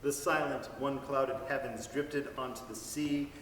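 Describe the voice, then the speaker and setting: A man giving an expressive, savoring dramatic reading of a story. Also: medium pitch, normal speed, slight background noise. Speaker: reader. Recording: echoey hall from far off.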